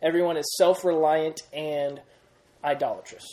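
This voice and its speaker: Lively young man teaching a Bible class. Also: fairly high pitch, normal speed. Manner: energetic, many restarts, quizzes the group. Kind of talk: classroom Bible lesson with questions.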